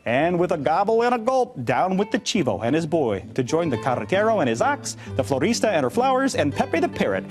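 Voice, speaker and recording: A middle-aged man reading a children's storybook aloud with expressive narration. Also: on the high side, brisk, few pauses, soft background music. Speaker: read-aloud storybook reader. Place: indoor studio, seated on a couch.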